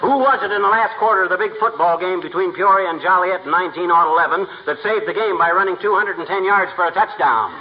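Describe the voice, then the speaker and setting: An actor playing a boastful, blustering small-town husband in a 1940s radio comedy, with folksy, wisecracking, slangy talk. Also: male voice, sits high, fast talker, hardly any pauses, natural voice. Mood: indignant boasting. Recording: noisy, muffled 1940s radio recording.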